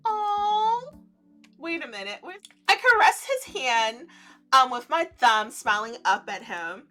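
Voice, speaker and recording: A woman streamer reading a visual novel aloud in an animated let's-play style, breaking into giddy, giggly reactions and commentary. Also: high-pitched, slow, soft background music.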